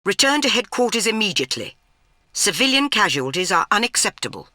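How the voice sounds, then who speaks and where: An actress playing a stern older British spy chief in a video game, clipped, commanding and dryly disapproving. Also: female voice, medium pitch, big pitch swings, brisk, background noise, close to an everyday voice. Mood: stern, urgent.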